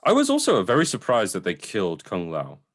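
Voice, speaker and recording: A man's voice: lowish voice, wildly swooping pitch, brisk. A man co-hosting a casual, conversational film review, informal and sometimes sweary as he qualifies his opinions.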